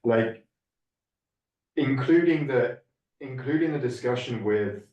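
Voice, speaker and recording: A man's voice: medium pitch, slow pace, darkish tone, distant mic, lo-fi sound. A man in a casual academic discussion, building his points through restarts and repeated phrases. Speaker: discussion group participant. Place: indoor room at a group meeting. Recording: echoey room, off mic.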